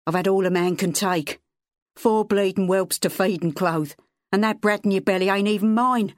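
Woman doing a drunk, bitter father yelling. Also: medium pitch, normal speed, no echo, studio quality, voice pushed up, close to an everyday voice. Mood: bitter ranting. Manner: yelling, slurring, coarse. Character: drunk, bitter, stooped working-class man, father.

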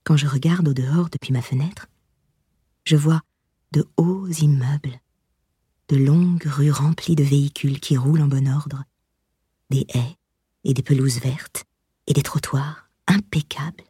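A woman with a low, very breathy and husky voice reads a memoir as an audiobook narrator. Her tone is dark and soft-edged. The delivery is measured and expressive, recorded dry and close. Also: dry recording.

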